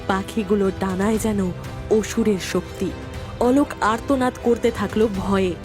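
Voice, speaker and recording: A woman's voice: mid-range voice, measured pace. A woman reading scripted narration for a dramatic story. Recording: music behind the voice.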